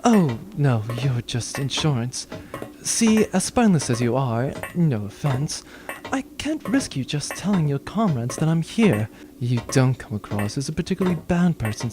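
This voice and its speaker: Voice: mid-range voice, close to an everyday voice. A performer playing a smug, urbane villain with a wry, condescending manner in a comedic sci-fi audio drama. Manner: wry, condescending, florid wording.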